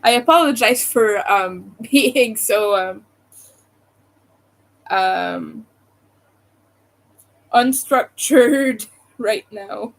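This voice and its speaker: A woman's voice: fairly high pitch, drawn-out words, vocal fry, long pauses, poor audio. A young adult woman with a Montreal accent, chatting loosely with viewers on her live stream, rambling and hesitant, with many fillers. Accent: Montreal Quebec. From Quebec, Canada.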